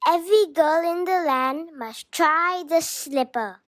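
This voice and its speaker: A woman voicing an earnest, eager young fairytale prince in a proclaiming, excited manner. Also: very high voice, slow pace, dry recording, studio quality, pitched above the performer's own voice.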